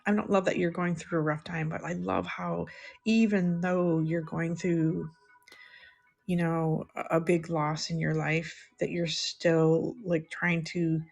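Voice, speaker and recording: A middle-aged woman chatting softly and unhurriedly with viewers while she draws on a livestream. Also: deep voice, gravelly. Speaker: live-streaming artist. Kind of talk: casual livestream chat while drawing.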